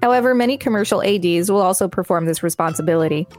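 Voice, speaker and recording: A woman's voice: lowish voice, fast talker, darkish tone, faint music behind. A woman reading an encyclopedia article aloud as a podcast co-host. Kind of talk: read-aloud article narration.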